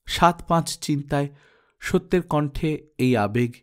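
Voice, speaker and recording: A man's voice: a little high, a little slow. Male storyteller reading literary fiction. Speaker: storyteller, audiobook narrator. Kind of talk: dramatic literary scripted narration.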